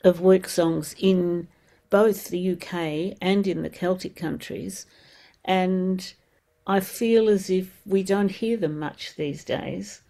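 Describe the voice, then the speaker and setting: A woman hosting a radio music show, warmly enthusiastic as she talks with her guests. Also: fairly low pitch, takes it slowly. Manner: enthusiastic, encouraging. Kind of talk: radio interview.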